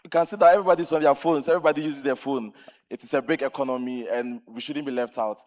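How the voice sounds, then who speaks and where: A man from Ghana speaks from the floor of a large conference hall with a handheld mic. His voice sits at an ordinary pitch, and he makes his point earnestly and keenly. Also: fairly even intonation, fast talker, a little mellow. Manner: earnest, enthusiastic. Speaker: audience member. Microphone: handheld microphone.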